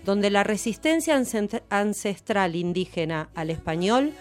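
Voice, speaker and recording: A woman hosts a radio show in a mid-pitched voice, talking easily about books with small fillers. Faint music plays under her voice. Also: a little slow. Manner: relaxed, with fillers, speaking warmly of a novel. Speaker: radio host.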